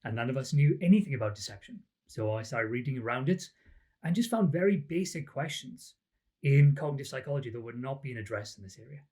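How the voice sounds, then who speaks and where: This is a middle-aged Welsh man speaking as a podcast guest. He explains things loosely, with plenty of ums, and likes to poke fun at himself. His voice sits at an ordinary pitch. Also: lively intonation, a little fast, darkish tone. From Wales. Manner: chatty and self-deprecating, frequent ums. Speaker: academic guest, lie detection researcher. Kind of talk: relaxed interview answers.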